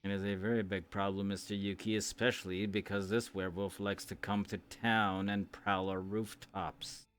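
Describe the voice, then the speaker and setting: A man playing a grave, formal town authority in an improvised fantasy roleplay, speaking in a measured, ominous way. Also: on the low side, flat delivery, fairly bright tone, close to an everyday voice. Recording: music behind the voice. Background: music.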